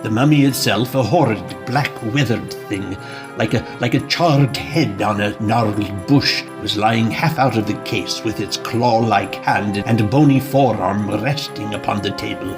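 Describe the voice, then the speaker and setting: A man's voice: on the low side, unhurried. Man narrating, faint music behind. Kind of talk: scripted dramatic story narration.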